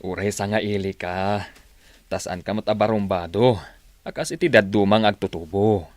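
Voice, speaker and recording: A man's voice: fairly low pitch, normal speed, no echo, close to an everyday voice. A man playing a friendly, fatherly older factory worker in a radio drama, with an Ilocano accent. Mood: fatherly, approving.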